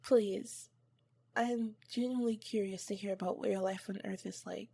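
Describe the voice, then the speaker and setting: A woman playing an awkward, earnest female alien scientist in an ASMR roleplay, soft-spoken, with formal, clinical wording and flustered hesitations. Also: mid-range voice, fairly even intonation, normal speed, creaky, dry recording. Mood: curious, gentle.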